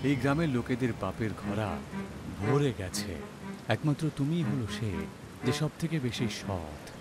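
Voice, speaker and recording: A man voicing a solemn, commanding messenger from the rain heavens, with a measured, authoritative delivery in an animated story. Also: lowish voice. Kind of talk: animated moral story dialogue. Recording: loud music behind the voice.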